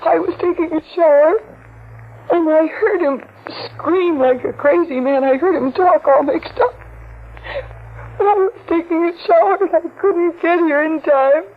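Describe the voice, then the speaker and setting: High tearful young woman, crying, tinny old radio hiss. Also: moderate pace. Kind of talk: 1940s radio acting.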